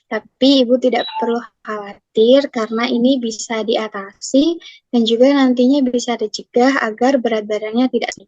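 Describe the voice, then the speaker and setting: A woman's voice: on the high side, fairly even intonation, normal speed, a little mellow, no room sound. A young woman acting as a nutrition counselor, asking polite, formal questions step by step. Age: young adult. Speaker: nutrition counselor in a class role-play. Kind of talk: scripted role-play consultation. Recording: dry, muffled lo-fi recording.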